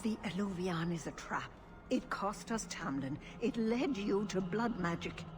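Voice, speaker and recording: A woman's voice: medium pitch, animated, a little slow, music in the background, lightly acted. A woman playing a grave, protective older elf clan leader in a video game cutscene, speaking solemnly and with measure. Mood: pleading warning. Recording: noisy game ambience behind.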